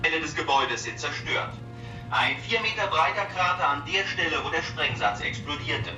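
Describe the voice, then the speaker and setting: Television newsreader, matter-of-fact, distant, fairly high voice, radio drama. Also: male voice, animated, moderate pace, sharp tone. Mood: formal, grave. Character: formal male television newsreader reporting a bombing. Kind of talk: news reading within radio drama. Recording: distant, echoey television sound, music behind.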